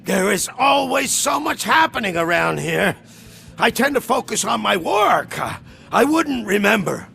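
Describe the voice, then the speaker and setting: Scared weaselly accountant in a game, high creaky voice all over the place, pleading. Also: male voice, measured pace, faint music behind, full character voice. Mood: panicked, pleading. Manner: nervous, pleading, excitable. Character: cowardly, frightened male accountant for a criminal gang. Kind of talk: video game voice acting.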